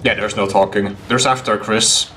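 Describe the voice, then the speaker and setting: A man's voice: lowish voice, steady tone, normal speed. A man in his twenties giving casual, exclamatory live gameplay commentary and talking to his chat. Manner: reactive, exclamatory, talks to chat. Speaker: game streamer. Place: small home room, indoors. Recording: clear background game noise.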